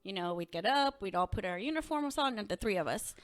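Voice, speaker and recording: A woman from Puerto Rico, a podcast guest, telling personal stories in an animated, expressive way. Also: medium pitch, fairly quick. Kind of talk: casual conversational storytelling.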